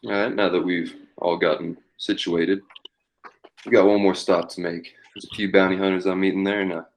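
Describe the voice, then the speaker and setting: A man acting a very deep-voiced Western outlaw captain. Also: flat delivery, moderate pace, slight background noise, poor audio. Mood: businesslike. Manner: folksy cowboy talk. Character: tough Western outlaw captain recruiting a posse. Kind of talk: western table read.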